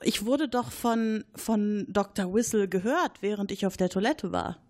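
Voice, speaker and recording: A woman's voice: mid-range voice, measured pace, darkish tone, lightly acted. Woman playing a cagey, guarded witness. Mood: defensive. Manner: guarded, deflecting. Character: cagey, evasive witness at a military base.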